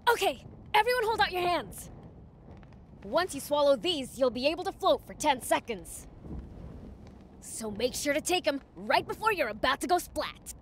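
A woman's voice: high-pitched, very animated, moderate pace, long pauses, slight background noise. A woman voicing a brash, cocky young demon prince in a video game, bossy and informal. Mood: confident. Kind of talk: video game cutscene acting.

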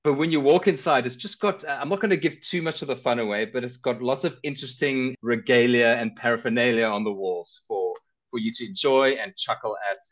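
A man's voice: medium pitch, fairly quick. A middle-aged man with a South African accent, talking casually as a travel guest in an interview. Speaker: travel guest, car rental company director. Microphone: headset on a video call. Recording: slightly dull video call audio.

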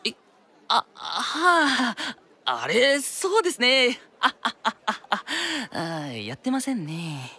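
A woman's voice: a little high, sing-song, takes it slowly, pushed and bright, breathy edge, exaggerated voice. A woman playing a cheerful, naive teenage boy shopkeeper in a radio drama, with polite, eager merchant patter. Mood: sheepish. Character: cheerful naive teenage boy, young secondhand-shop owner. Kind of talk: anime-style radio drama acting.

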